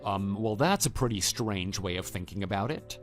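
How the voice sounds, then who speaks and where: Actor as a measured, level-headed husband. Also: male voice, on the low side, lively intonation, soft background music, lightly acted. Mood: skeptical, controlled. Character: wary, level-headed husband, blunt and skeptical. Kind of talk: scripted texting-story radio drama.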